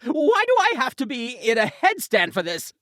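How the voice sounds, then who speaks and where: A woman's voice: fairly high pitch, huge pitch swings, moderate pace, fairly bright tone, no echo, studio quality. A woman playing a grumbling, impatient mystic sidekick in a comedic audio drama, irritable and bossy.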